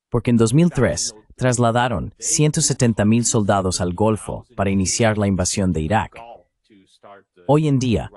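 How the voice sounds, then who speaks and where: A man's voice: on the low side, darkish tone. A man giving geopolitical commentary as a guest, in a dubbed voice-over.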